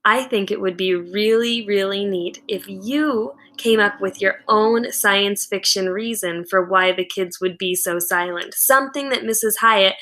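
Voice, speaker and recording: Bright-voiced woman reading a story aloud. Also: medium pitch. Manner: deliberate, dramatic storytelling. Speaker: teacher reading a children's novel aloud. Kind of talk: expressive read-aloud narration.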